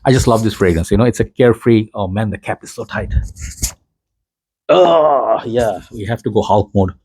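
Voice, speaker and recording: A man from Bangladesh talks casually about fragrances, rambling from one point to the next and tossing in "you know" and little laughs. His voice sits fairly low with a soft, mellow tone. The sound is dry and close, with no room around it. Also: normal speed, slightly rough, dry recording. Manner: frequent fillers. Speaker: fragrance reviewer. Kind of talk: casual chat to camera. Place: home in Dhaka.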